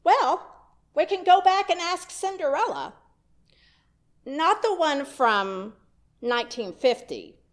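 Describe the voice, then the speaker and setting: A woman's voice: sits high, lively intonation, takes it slowly, pauses often. A woman minister giving a sermon in a church, telling a story in a conversational way with wry asides. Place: church sanctuary.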